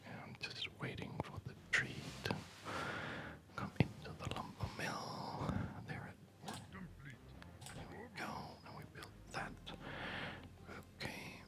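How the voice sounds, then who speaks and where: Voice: takes it slowly, pauses often. A person whispering ASMR gameplay commentary, hesitantly thinking aloud as the host of a gaming video.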